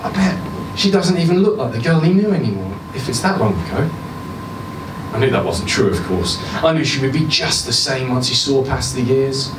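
A man's voice: animated, moderate pace. Man reading a story aloud in a roomy bar. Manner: voices the woman's lines higher, shifts to quiet and low near the end. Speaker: story reader. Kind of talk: live reading of a short story. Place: small bar with audience, indoors. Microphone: stand mic, filmed from a far camera. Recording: roomy bar sound from a distance with background hum.

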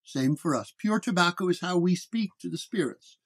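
A man playing a calm, measured, wry paranormal detective in a horror story. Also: high-pitched, breathy edge, exaggerated voice. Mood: wry, explaining.

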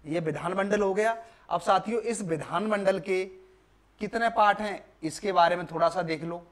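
A man's voice: sits high, moderate pace. A young man from India teaching a lesson, repeating key points and posing questions that he answers himself. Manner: repetitive, emphatic, asks and answers own questions. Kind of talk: classroom lecture on a video lesson. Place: indoor classroom at a board.

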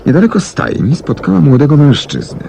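An actor playing a methodical, self-assured English detective in a 1970s Polish radio play, telling things in a measured, deliberate way with emphatic swings. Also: male voice, fairly high pitch, huge pitch swings, creaky, warm tone, heavily acted. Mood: methodical, intent. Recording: noisy old 1970s radio recording.

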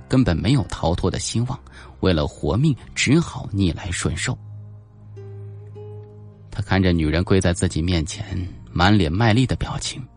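A man's voice: low-pitched, darkish tone. A man narrating a suspense audiobook in a dramatic, scripted storytelling style.

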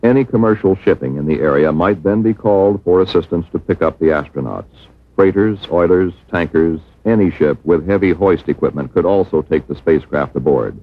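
Casting: American male documentary narrator, deep and slightly rough, formal measured read, thin muffled vintage film sound. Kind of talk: scripted educational film narration.

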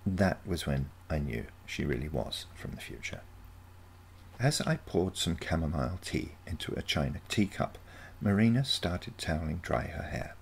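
Male narrator for short fiction: gravelly low register, expressive melody. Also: normal speed, a little hiss or hum. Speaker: story reader. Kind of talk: scripted short-story narration.

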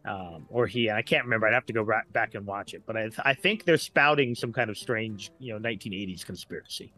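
Bright-voiced middle-aged man chatting. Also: fairly low pitch, quick pace, faint music behind. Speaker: podcast guest. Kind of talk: casual discussion.

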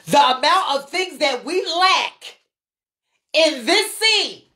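A woman's voice: high-pitched, very animated, slow pace, sharp tone. A woman ranting off the cuff on a livestream, blunt and emphatic, swearing freely in heavy slang and repeating phrases to drive points home. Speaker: livestream host, ballroom scene commentator. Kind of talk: unscripted livestream rant.

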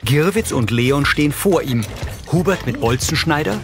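A man's voice: fairly high pitch, lively intonation, normal speed. Neutral male audio-description narrator over music. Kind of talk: neutral scripted scene narration.

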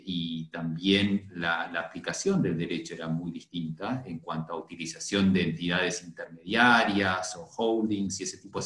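A middle-aged man, speaking on an online academic panel, measured and hesitant. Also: sits low, fairly bright tone. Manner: measured, thinks aloud with many hesitations. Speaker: seminar panelist. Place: indoor room. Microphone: laptop camera mic on video call. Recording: roomy echo.

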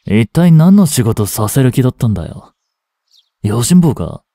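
Brash young male delinquent played by a man for audio drama: dark, mellow tone, swinging intonation, defiant and teasing. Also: medium pitch, big pitch swings, normal speed, dry recording, clean studio sound. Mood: scornful, defiant. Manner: brash, slangy tough-guy talk, drawling. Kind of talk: Japanese audio drama acting, one-sided dialogue to the listener.

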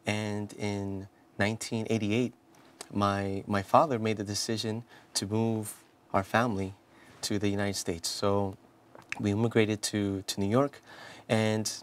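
A man's voice: on the low side, little pitch movement, a little slow, slight background noise. A man in his thirties from South Korea, a priest telling his life story in a studio interview, earnest and full of restarts and "you know" fillers, on a lapel mic. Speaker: priest guest.

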